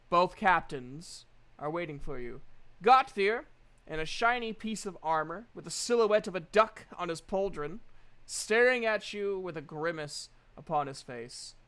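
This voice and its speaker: Smooth higher-voiced Southern man narrating. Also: fairly bright tone. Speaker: game master. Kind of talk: narrating a tabletop fantasy roleplay game.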